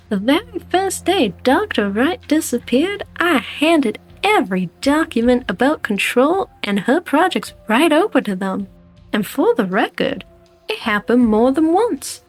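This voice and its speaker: A woman voicing a composed, wry company director, coolly evasive and defiant, in a game fan dub. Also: sits high. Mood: cool, defensive. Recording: music behind the voice.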